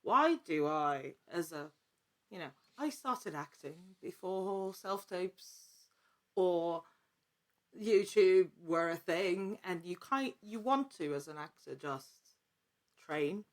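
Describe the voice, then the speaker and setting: A woman's voice: fairly low pitch, expressive, slow. A woman chatting casually as an interview guest, an actor talking in a conversational way. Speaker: podcast guest, actor and producer. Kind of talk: casual chat.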